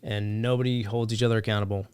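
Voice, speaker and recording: A man in his thirties guesting on a podcast, blunt and profane, preaching about accountability into a studio boom mic. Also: flat delivery, fast, darkish tone, clear, resonant tone, no echo. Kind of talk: casual podcast conversation. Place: podcast studio, grey wall. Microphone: studio mic on boom arm with headphones.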